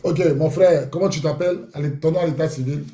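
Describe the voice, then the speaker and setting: A man's voice: on the high side, fast, mellow, echoey room. A man hosting a live talk room, chatty and repetitive as he probes a caller with questions. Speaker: host. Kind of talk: live audio room moderation.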